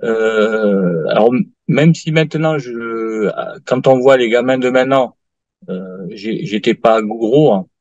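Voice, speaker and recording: A man's voice: mid-range voice, darkish tone, no room sound. Late-50s guy telling his story, stops and restarts a lot, dull dry lo-fi audio. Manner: halting, many fillers and restarts. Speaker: client giving a testimonial. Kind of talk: hesitant interview answers.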